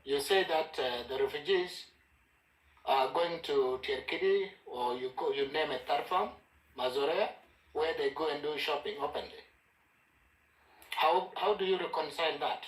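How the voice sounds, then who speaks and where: A man's voice: on the high side, moderate pace, reverberant, poor audio. A man pressing a question again and again in a heated online debate, speaking formally. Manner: persistent, formal, repeats himself. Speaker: panel participant.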